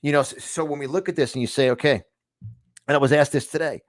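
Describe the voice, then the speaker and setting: A man's voice: fairly high pitch, quick pace, no room sound. A middle-aged man with a New York accent, delivering a fired-up motivational monologue with many restarts and repeated words. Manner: emphatic, repetitive, stumbling restarts, preacherly. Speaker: host of a conservative news channel.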